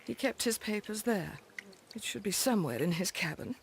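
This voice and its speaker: A woman's voice: medium pitch, lively intonation, normal speed, vocal fry, slight background noise. A woman playing a polite, subdued Victorian wife in a video game, hesitant and plain-spoken. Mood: uncertain.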